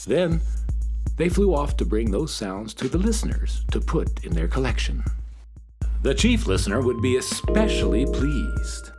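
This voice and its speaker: A man narrating a children's radio story in an expressive storytelling manner. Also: mid-range voice, a little slow, over music.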